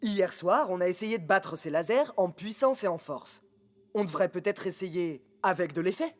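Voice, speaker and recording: A man voicing an earnest, determined young male footballer in an animated series, eagerly explaining. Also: high voice, normal speed. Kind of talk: French animated series dubbing.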